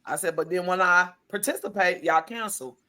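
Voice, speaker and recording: A tired woman podcast co-host with a slightly raspy voice, telling stories in casual chat and acting out her own exchanges. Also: on the low side, normal speed, sharp tone. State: tired from overwork.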